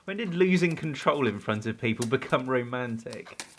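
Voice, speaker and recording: A man's voice: mid-range voice, expressive, normal speed, a bit bright, slight background noise, close to an everyday voice. An actor playing a shy, tidy, awkwardly romantic man with a hesitant, self-mocking manner in a radio drama. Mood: defensive, fussy.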